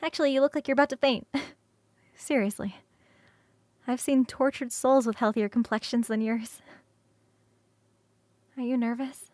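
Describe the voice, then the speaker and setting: A woman performing an ASMR roleplay as a playful, affectionate succubus roommate who talks straight to the listener in a coaxing, halting, expressive way. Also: fairly high pitch, no echo, natural voice. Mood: reassuring, amused. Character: affectionate, playful succubus roommate, young-sounding, eager to cuddle. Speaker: streamer performing scripted roleplay.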